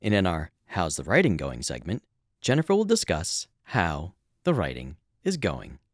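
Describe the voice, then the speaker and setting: A man's voice: sits low, wildly swooping pitch, normal speed, dry recording, clean studio sound. A man co-hosting a podcast, explaining things in a casual, conversational way. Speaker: podcast co-host and producer.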